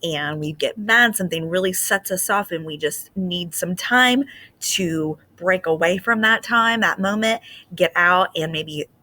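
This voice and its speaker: Woman teacher, bright clear voice, patient explaining, expressive storybook reading to kids. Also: fairly low pitch, unhurried. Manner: gentle, patient explaining with frequent "um" fillers. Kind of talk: explaining to children and reading a picture book aloud.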